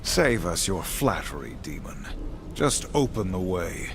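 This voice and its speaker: A man's voice: deep voice, sing-song, a little slow, creaky, over music, full character voice. An actor playing a stern, dutiful apocalyptic horseman in a video game, with a solemn, commanding, formal manner. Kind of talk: video game cutscene acting.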